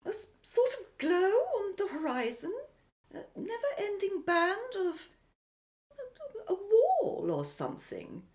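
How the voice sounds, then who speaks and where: A woman's voice: very high-pitched, wildly swooping pitch, takes it slowly, a little mellow, full character voice. An actress playing a plucky, playful woman explorer in an old-fashioned, exclamatory radio adventure style. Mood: awed, cautious. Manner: exclamatory, old-fashioned jolly British period speech. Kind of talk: radio adventure drama acting.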